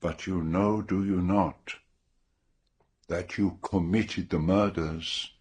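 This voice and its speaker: Slow, husky, low-voiced detective. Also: male voice, steady tone, darkish tone, exaggerated voice. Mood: probing, calm. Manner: formal, deliberate questioning. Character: methodical, probing older male detective, calm and grave. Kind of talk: radio drama acting.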